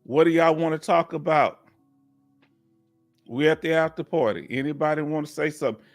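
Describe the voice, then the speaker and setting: A man in his mid-fifties hosts a live question-and-answer stream in a loose, folksy way. His voice sits on the higher side, and he circles back over his words while coaxing viewers to join in. Soft music plays faintly behind him. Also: moderate pace. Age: 50s. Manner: folksy, repeats himself, coaxes the audience to join. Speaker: livestream host and veteran claims advisor. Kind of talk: casual live Q&A talk.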